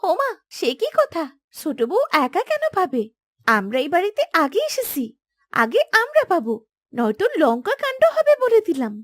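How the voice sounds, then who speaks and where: Lady doing a bickering village wife for a cartoon, high shrill scolding voice, goes up and down loads. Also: huge pitch swings, moderate pace, no echo, clean studio sound, full character voice. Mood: jealous. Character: indignant, quarrelsome village farmer's wife. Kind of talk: cartoon fairy-tale voice acting.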